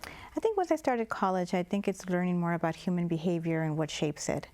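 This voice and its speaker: A woman from Mexico talks through her story on a community TV interview. Her voice sits a little low, and she hesitates often, circling back with fillers as she thinks aloud. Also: sing-song, brisk, a little mellow. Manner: thoughtful, hesitant, many fillers and restarts. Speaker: guest. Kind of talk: public-access TV interview.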